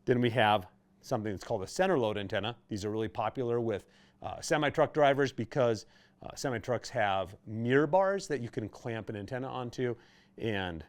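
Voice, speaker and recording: A man's voice: fairly low pitch, darkish tone. A man presenting an instructional video in a conversational, plain-spoken way, explaining things patiently step by step. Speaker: host of product tutorial videos for a radio retailer.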